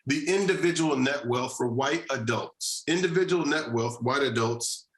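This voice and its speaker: A man from New Jersey, a social justice advocate and guest, making his case in a measured, deliberate way and repeating key phrases. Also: mid-range voice, echoey room, rough recording. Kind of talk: earnest policy discussion.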